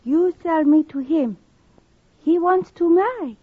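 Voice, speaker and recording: An actress playing a meek young immigrant bride in a radio western, speaking simple, halting broken English. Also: female voice, very high-pitched, no echo. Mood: shy, earnest. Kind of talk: 1950s radio western acting. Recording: thin phone-like vintage radio recording.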